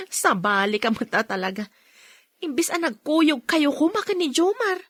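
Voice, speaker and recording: Woman acting as teasing ilocano gal pal, nosy, super high voice bouncing all over, radio drama. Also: expressive, measured pace, fairly bright tone. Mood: amused. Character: nosy, teasing female confidante.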